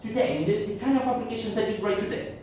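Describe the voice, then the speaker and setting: A man from Argentina giving a conference tech talk to an audience in English as a second language, halting, with many fillers and restarts. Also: high voice, fairly even intonation, darkish tone, slight background noise. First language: Spanish. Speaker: conference speaker. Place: conference room. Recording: echoey hall, speaker far from mic.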